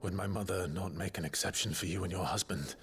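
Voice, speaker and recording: An actor playing a sombre, guilt-ridden exiled nobleman in a video game, halting and restrained. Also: sits low, fairly bright tone, a little hiss or hum. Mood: shaken. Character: brooding, guilt-ridden exiled young nobleman turned soldier. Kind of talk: dramatic video game cutscene acting.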